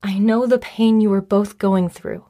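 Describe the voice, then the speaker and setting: A woman acting a calm, reasonable young friend in an audio drama, speaking in a measured, placating, conversational way. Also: medium pitch, natural voice. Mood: reasoning, placating. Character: calm, reasonable young female friend giving gentle counsel.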